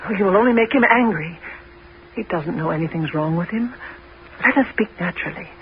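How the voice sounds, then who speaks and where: A woman's voice: fairly low pitch, expressive, fairly quick. An actress playing an anxious, protective Greek queen and mother in a 1970s radio drama. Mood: worried. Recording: dull, faintly noisy old radio recording.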